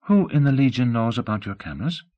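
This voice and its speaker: A man's voice: mid-range voice, sing-song, fast, mellow, voice pushed up, close to an everyday voice. A candid young woman, as a male narrator puts her on. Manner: poised and blunt, asks pointed questions. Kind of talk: audiobook character voice.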